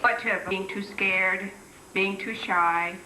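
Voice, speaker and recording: A woman's voice: mid-range voice, steady tone, slow, bright, forceful tone, slight background noise. A middle-aged woman from the Philippines with a slight Filipino accent, speaking earnestly and firmly on a symposium panel. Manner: earnest, firm, lecturing with repeated "okay?". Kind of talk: public talk at a community symposium. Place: community center hall, recorded from afar. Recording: echoey hall recording from far off.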